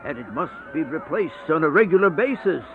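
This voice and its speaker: A man's voice: a little high, sing-song, noisy background. An actor playing an aged, sickly male vampire, bitter and grandiose, in theatrical, declamatory radio horror style. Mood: scornful, exasperated. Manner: theatrical, declamatory, drawn-out phrasing. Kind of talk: radio horror play acting. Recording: thin phone-like band-limited audio with music.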